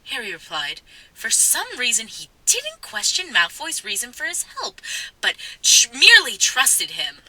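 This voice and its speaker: A woman's voice: fairly high pitch, huge pitch swings, measured pace, bright, forceful tone, no echo, rough recording. A woman narrating a comic dramatic story reading in a playful, mock-dramatic way, often stumbling over her lines.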